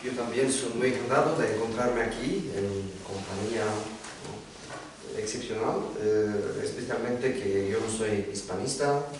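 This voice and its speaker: Slow, halting man in an echoey room. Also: on the low side, far from the mic, noisy background. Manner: halting, many filler hesitations. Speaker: panelist, literary scholar. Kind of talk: round-table talk. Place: lecture room.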